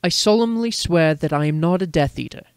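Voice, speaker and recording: A woman's voice: on the low side, animated, fairly quick, a little mellow, clean tone, no echo, clean studio sound. A woman reading character lines in a dramatic reading of a fantasy story. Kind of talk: dramatic reading of fan fiction.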